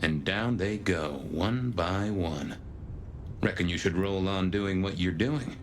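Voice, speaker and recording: An actor playing a folksy, laconic police detective with dry humour in a noir video game. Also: male voice, deep voice, slow, a little hiss or hum. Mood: wry, philosophical. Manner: laconic, wry, colloquial. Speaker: detective, narrator. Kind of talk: hardboiled noir game narration and dialogue.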